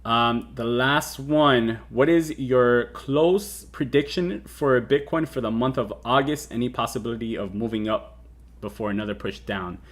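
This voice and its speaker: A man's voice: medium pitch, measured pace. A man in his thirties hosting a casual crypto livestream, talking loosely with lots of fillers and restarts. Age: 30s. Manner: rambling with many fillers and restarts. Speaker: crypto show host.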